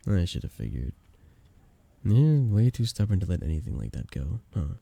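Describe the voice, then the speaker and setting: A young man acting a flustered best friend with a hidden crush in an intimate ASMR romance roleplay, soft-spoken, casual and hesitant. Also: low-pitched, sing-song, moderate pace, vocal fry, husky, no room sound, exaggerated voice. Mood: teasing, reluctant.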